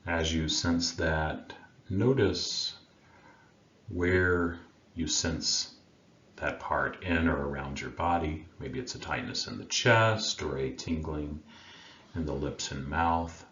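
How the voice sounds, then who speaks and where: A man leading a guided meditation as a coach, speaking in a gentle, soothing manner with long unhurried pauses. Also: a bass voice. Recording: roomy, dull sound with faint background noise.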